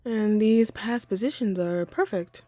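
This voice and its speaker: A woman's voice: mid-range voice, measured pace, mellow, no room sound, soft background music, natural voice. A woman voicing a haughty, wry female supervillain in a scripted audio roleplay, poised and theatrical but conversational. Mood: encouraging, sly.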